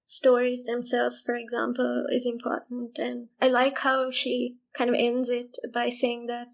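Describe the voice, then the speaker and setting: A woman podcast panelist giving a measured response in a group discussion. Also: fairly high pitch, creaky.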